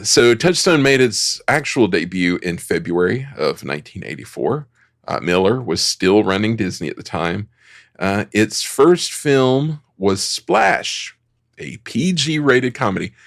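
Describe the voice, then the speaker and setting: A man's voice: lowish voice, very animated, a little slow, gravelly, no room sound, clean studio sound. A man hosting a podcast, narrating film history from notes in a conversational way with joking asides. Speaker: podcast host.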